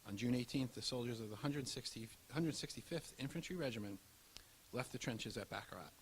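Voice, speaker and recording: Male narrator, mid-pitched, scripted history documentary read, faint hiss behind the voice. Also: brisk, poor audio. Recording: audible background hiss.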